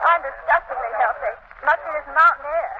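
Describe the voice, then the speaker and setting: A refined, eager young heroine of a 1930s radio serial. Her voice is very high and she talks quickly. The sound is dull with a faint hiss. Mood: bright, curious. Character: plucky, refined young woman, sleuth's loyal companion. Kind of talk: 1930s radio drama acting. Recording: muffled, hissy 1930s radio recording.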